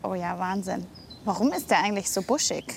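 A woman reporting for a children's wildlife show, commenting spontaneously with an excitable, curious and expressive manner. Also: mid-range voice, a little fast, creaky. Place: on location, outdoors. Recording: faint outdoor ambient noise.

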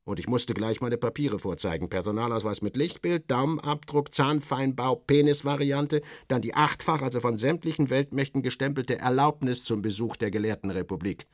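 A man narrating satirical first-person literary prose in a wry, expressive way with clipped phrasing. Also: medium pitch, normal speed, few pauses, dry recording, clean studio sound.

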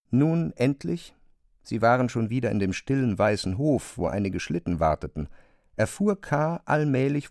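A man's voice: lowish voice, a little mellow, dry recording, clean studio sound. A man reading scripted literary narration for a radio play.

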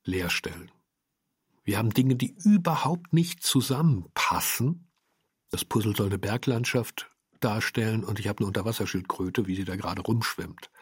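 A man with a low, husky voice speaks as a radio drama director. He reflects aloud in emphatic, halting phrases, interview style, in a dry recording. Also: lively intonation, no room sound.

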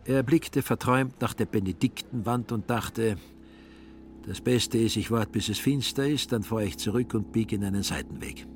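A man narrating a literary audio drama in a measured, dryly ironic way. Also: on the low side, a little hiss or hum.

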